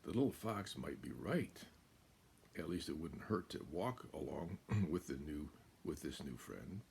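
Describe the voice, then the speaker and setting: An older American man reading a children's picture book aloud, deliberate and expressive, with pauses. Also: a bass voice, vocal fry, slight background noise. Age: older, about 70s. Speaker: guest storyteller. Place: small room at home, indoors.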